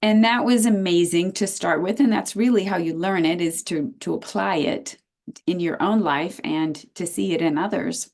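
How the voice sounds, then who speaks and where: An American woman in her sixties, a naturopath and teacher, chatting as an interview guest over a video call and telling her story with lively restarts. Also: fairly low pitch, normal speed. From United States.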